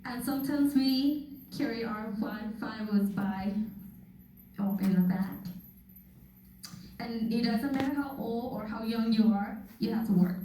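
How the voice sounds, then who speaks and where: A young woman from Thailand giving a personal testimony with slides, speaking slowly and earnestly with soft laughs, recorded from far off in a church hall. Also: on the high side. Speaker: guest speaker. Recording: echoey hall from far off, faint noise.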